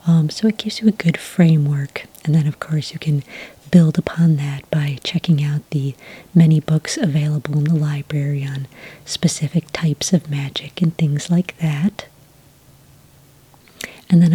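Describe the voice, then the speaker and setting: A woman playing a friendly, helpful witch clerk at a magic academy in a soft-spoken, unhurried, whispery ASMR roleplay. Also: deep voice, breathy edge, no echo, full character voice.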